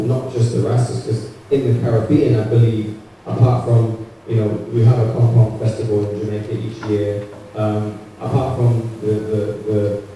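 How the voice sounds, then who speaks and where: Earnest low-voiced man in an echoey hall. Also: mellow, a little hiss or hum. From Barbados, raised in the UK. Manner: earnest, hesitant with many fillers and restarts. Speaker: conference panelist. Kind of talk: conference panel answer. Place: large conference hall. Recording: echoey hall heard from far off.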